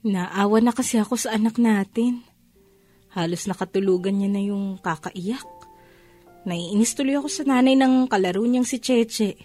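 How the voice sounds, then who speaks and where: A woman's voice: mid-range voice, normal speed, dark tone, faint music behind, lightly acted. A woman acting as a protective, emphatic mother in a Filipino audio drama. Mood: sympathetic, weary. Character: protective, indignant mother of a young child.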